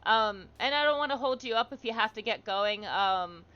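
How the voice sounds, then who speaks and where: A woman's voice: on the high side, lively intonation, moderate pace, clean tone, lo-fi sound. A woman hosting a livestream, chatting casually and encouragingly with viewers and reading their comments aloud. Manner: encouraging, supportive. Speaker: livestream host.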